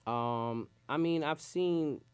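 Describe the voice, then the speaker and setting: A Puerto Rico-born man, a rapper, chatting loosely in street slang as an interview guest, his sentences trailing off low. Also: takes it slowly, clear, resonant tone. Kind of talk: casual interview chat.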